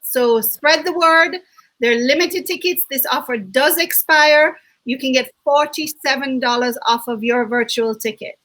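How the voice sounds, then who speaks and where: Bright, high-voiced woman host. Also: unhurried. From Jamaica. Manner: bubbly, laughs often, self-correcting. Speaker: co-host of online summit. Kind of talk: enthusiastic webinar hosting.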